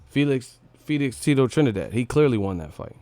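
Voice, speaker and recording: A man's voice: mid-range voice, sing-song, a little fast, mellow, dry recording. A man hosting a casual livestream fight breakdown, blunt and opinionated, with slangy talk and plenty of swearing. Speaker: livestream host, boxing commentator.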